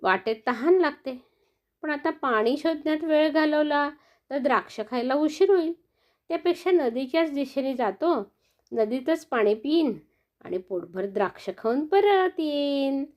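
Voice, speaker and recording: A woman narrates a children's bedtime story at a slow, gentle pace. Her voice sits fairly high and she speaks in a sing-song, coaxing way, turning to her young listeners with questions. The recording sounds a little dull and lo-fi. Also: lively intonation.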